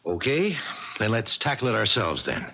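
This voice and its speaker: An actor playing a sceptical, level-headed friend in a 1970s radio mystery, wry and reasoning in manner. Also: male voice, on the low side, very animated, moderate pace, vocal fry, pushed and bright, exaggerated voice. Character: sceptical, level-headed confidant, wryly reproachful male friend. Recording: dull vintage radio recording.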